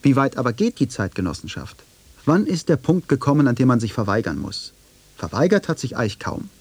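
A man delivering scripted radio feature narration and argument in a deliberate, probing manner. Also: medium pitch, very animated, darkish tone, husky, dry recording.

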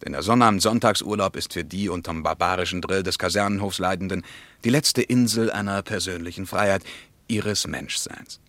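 An actor playing an impassioned, earnest storyteller in a radio play, speaking emphatically in rhetorical build-ups. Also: male voice, low voice, a little fast, fairly bright tone, dry recording. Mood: grave, intense. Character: impassioned male storyteller recounting army injustice, earnest and intense. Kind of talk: dramatic radio play monologue.

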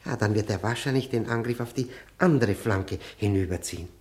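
A man's voice: lowish voice, brisk, natural voice. An actor playing a nervous, obsessive chess expert in his forties in a radio play, giving urgent, precise instructions. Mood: urgent, absorbed. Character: pale nervous stranger of forty-five, obsessive chess expert.

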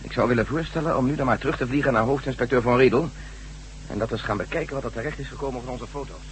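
Male actor doing outspoken doubting sidekick, talks fast, slightly noisy hummy old radio play. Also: mid-range voice, close to an everyday voice. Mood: matter-of-fact. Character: sceptical, outspoken male companion of the hero. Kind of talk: Dutch radio drama acting.

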